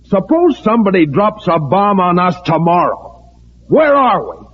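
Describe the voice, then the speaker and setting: An actor playing a folksy, pushy newcomer who speaks haltingly and insistently in an old radio drama. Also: male voice, sits high, wildly swooping pitch, breathy edge, exaggerated voice. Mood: agitated, insistent. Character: folksy, pushy newcomer man stirring up alarm at meeting. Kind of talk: 1940s-50s radio drama acting. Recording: tinny, band-limited old radio recording.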